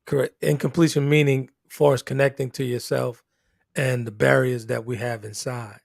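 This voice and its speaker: A laid-back man co-hosting a live panel chat, affirming the others and greeting viewers. His voice is gravelly with plenty of fry. He sits close to a dry mic at a middle pitch. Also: little pitch movement, normal speed, no room sound.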